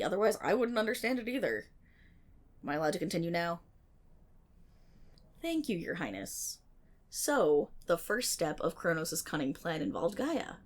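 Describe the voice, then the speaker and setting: Woman acting as female best friend, nerdy, chatty, sarcastic, animated intonation, crackly vocal fry, casual ASMR roleplay storytelling. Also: fairly high pitch, exaggerated voice. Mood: playfully exasperated, then explaining, wry. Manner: rambling, wry, sarcastic, theatrical asides.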